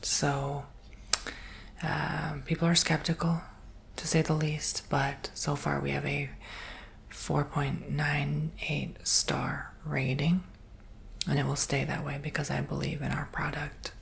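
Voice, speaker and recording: A man playing a soft-spoken, earnest robot salesman in a whispered ASMR roleplay, breathy and gently reassuring. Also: fairly high pitch, monotone, slow, slight background noise, heavily acted.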